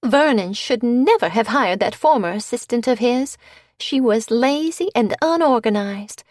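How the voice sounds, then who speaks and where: A woman's voice: fairly high pitch, expressive, unhurried, darkish tone, dry recording, studio quality, pitched above the performer's own voice. A woman reading an audiobook, voicing a gushing society matron with a sugary drawl.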